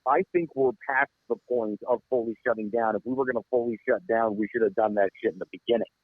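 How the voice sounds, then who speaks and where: A man's voice: mid-range voice, fast, no room sound. A man co-hosting a podcast in casual chat, blunt and swearing freely as he tells a long story. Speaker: podcast co-host. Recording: tinny phone-like sound, dry.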